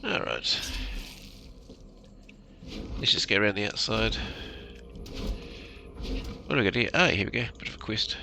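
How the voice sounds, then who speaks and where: A man's voice: fairly low pitch, animated, measured pace, vocal fry, long pauses, sharp tone, slight background noise. A man giving laid-back, casual commentary on a video game as he plays. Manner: laid-back, ends remarks with "eh". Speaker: gamer commentating on own play.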